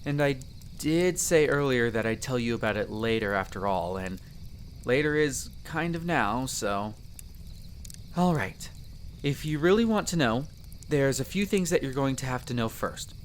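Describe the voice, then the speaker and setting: A man's voice: mid-range voice, expressive, unhurried, slight background noise, natural voice. A man playing a friendly, chatty elf explorer in a roleplay audio drama, explaining things in an easygoing, conversational way to the listener. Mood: patient, explanatory. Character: friendly chatty male elf explorer, eager magic mentor.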